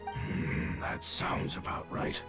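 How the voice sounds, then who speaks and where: An actor voicing an ancient, dying guardian creature in a video game, solemn, weary and formal, with a rough rumbling voice. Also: male voice, slow, reverberant, over music, close to an everyday voice. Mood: resigned, solemn. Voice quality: very gravelly, breathy rumble.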